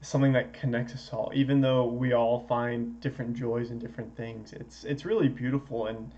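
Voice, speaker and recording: A man's voice: medium pitch, level tone, mellow, muffled recording. A man hosting an interview, chatting casually and laughing easily as he asks questions. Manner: friendly, laughs easily, quick agreeing yeahs. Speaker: interview host.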